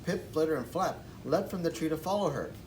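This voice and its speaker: A man's voice: a little high, animated, a little fast, darkish tone, distant mic, a little hiss or hum. A man reading a children's storybook aloud as narrator. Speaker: storybook reader.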